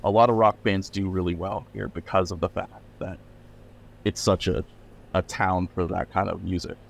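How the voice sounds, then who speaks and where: Deep-voiced pennsylvania guy rambling about his label, lots of likes and restarts. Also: level tone, normal speed, creaky, slight background noise. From Pennsylvania, USA. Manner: rambling, many "like" fillers and restarts. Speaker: record label owner, guest. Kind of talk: casual podcast interview.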